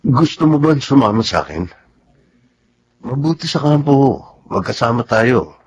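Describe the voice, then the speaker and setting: A man's voice: medium pitch, very animated, unhurried, slightly rough, lo-fi sound, exaggerated voice. An actor playing a devoted young soldier husband and new father in Filipino radio drama, tender and earnest. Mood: tender, reassuring.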